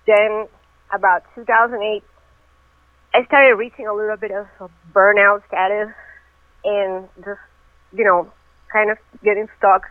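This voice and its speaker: A woman's voice: mid-range voice, level tone, unhurried, pauses often, dry recording. A woman who grew up in Venezuela, a personal trainer, chatting as a guest and telling her story at length over the phone. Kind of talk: casual interview over the phone. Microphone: telephone. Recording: tinny phone line, dry.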